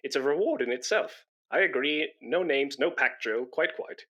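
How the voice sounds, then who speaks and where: An actor in a comedy radio play, playing a pompous, self-righteous, boastful busybody who sounds self-important and wheedling. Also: male voice, mid-range voice, animated, normal speed, sharp tone, dull audio.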